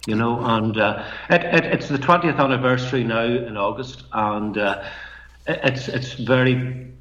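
A middle-aged man with a Northern Irish accent, a poet and storyteller, speaking softly and reflectively in a halting, measured way. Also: medium pitch. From Northern Ireland. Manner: soft-spoken, measured, halting restarts. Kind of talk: reflective interview talk. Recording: muffled, roomy remote line.

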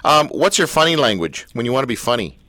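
A man's voice: mid-range voice, fast, no room sound. Guy hosting a radio show, jokey and teasing, lots of up and down in his voice, dry studio sound. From Canada. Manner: joking, teasing, animated. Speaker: radio talk show host. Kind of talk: playful radio interview.

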